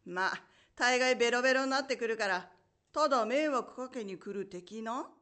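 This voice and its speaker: A woman's voice: on the high side, moderate pace, fairly bright tone, natural voice. An actress playing a blunt, teasing young woman in an amateur radio drama. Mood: chatty, teasing.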